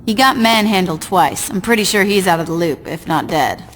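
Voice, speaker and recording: A woman's voice: lowish voice, animated, moderate pace, a little hiss or hum. An actress playing a ruthless, sardonic female gang boss in a video game, commanding and coolly threatening. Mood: cool, decisive. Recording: very loud music behind the voice.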